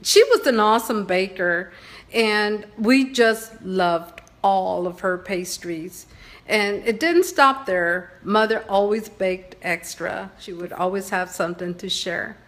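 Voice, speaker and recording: A woman from Texas giving a prepared, earnest personal presentation in a measured, slightly read-aloud way. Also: medium pitch, a bit bright. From Texas, San Antonio. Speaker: presenter sharing family background.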